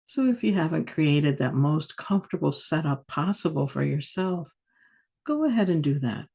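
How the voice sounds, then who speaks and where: A woman meditation teacher guiding a yoga nidra practice in a soft, soothing, unhurried manner with long pauses. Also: sits low, big pitch swings, creaky, breathy edge.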